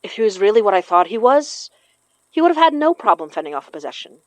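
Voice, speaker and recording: A woman's voice: mid-range voice, sing-song, fast, no room sound, lightly acted. A woman acting in an audio horror drama as an earnest, knowing spirit medium who blames herself. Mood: explaining, serious. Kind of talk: audio fiction podcast acting.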